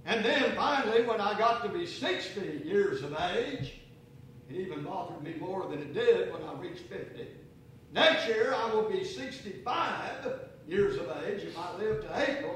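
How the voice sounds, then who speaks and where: A man in his sixties preaching a sermon, asking rhetorical questions and slowing to a quiet, deliberate pace for emphasis. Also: high-pitched, a little hiss or hum, poor audio. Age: 60s. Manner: repeats "if you please" and "think about this".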